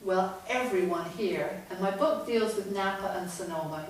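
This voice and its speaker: A middle-aged woman with a loud voice gives a chatty, animated talk to an audience as a guest author. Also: mid-range voice, moderate pace. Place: large echoey room from far off. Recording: echoey room, distant mic, faint noise.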